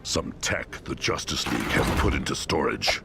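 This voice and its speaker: An actor playing a huge, brainy and imperious gorilla villain in a video game, speaking formally with a gravelly, commanding, dryly sarcastic delivery. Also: male voice, extremely low pitch, expressive, normal speed, heavily acted. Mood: dry, haughty. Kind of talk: video game cutscene acting. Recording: loud music under the voice.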